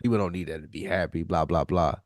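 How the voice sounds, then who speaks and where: A man's voice: deep voice, quick pace, no room sound, clean studio sound. A male podcast co-host chatting and debating casually in a slangy, profane, insistent way.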